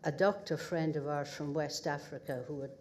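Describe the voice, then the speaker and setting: An older woman with a northeast Scottish accent gives a halting acceptance speech full of pauses and wry humour at an awards ceremony. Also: low-pitched, fairly even intonation, darkish tone, a little hiss or hum. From northeast Scotland. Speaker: award recipient. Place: ceremony hall at a podium.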